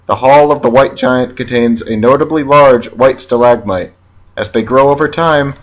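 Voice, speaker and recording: A man narrating an educational film from a script in a measured, factual, read-aloud way. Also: medium pitch, rough recording.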